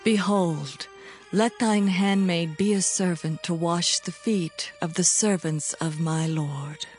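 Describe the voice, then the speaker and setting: A humble, submissive woman of ancient Israel in an audio Bible drama. Her voice is fairly low and very slow, with a measured, deferential tone. Gentle music sits behind it. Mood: humble, devoted. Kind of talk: dramatized Bible reading, archaic English.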